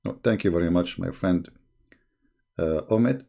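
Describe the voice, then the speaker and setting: Male live-stream host: deep, gravelly, voice worn out, slow and halting delivery. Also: mellow. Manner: halting, reads viewer messages aloud slowly. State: tired, strained voice. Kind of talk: casual live-stream talk.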